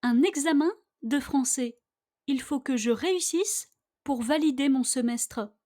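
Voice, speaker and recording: A woman reading a scripted language-lesson dialogue with careful, deliberate phrasing, for listeners to repeat. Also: high-pitched, warm tone. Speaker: language teacher.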